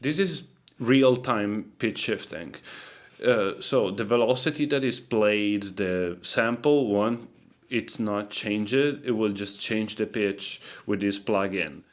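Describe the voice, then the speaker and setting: A man giving a casual, loosely phrased software tutorial as a sound designer. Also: lowish voice.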